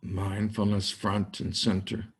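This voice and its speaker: An older man leading a guided meditation, speaking in slow, spacious phrases with long pauses. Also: on the low side, little pitch movement, slightly rough. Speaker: meditation teacher.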